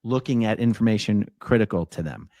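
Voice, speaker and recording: A man interviewing guests in relaxed, agreeable conversation. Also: lowish voice, fairly quick, dry recording, studio quality.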